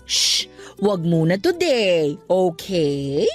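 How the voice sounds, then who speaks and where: A woman's voice: lowish voice, big pitch swings, drawn-out words, soft background music, full character voice. An actress playing a sassy, lovestruck older mother in a radio drama, with a Filipino accent and playful, campy teasing. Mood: smitten, coy. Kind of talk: Tagalog radio drama acting.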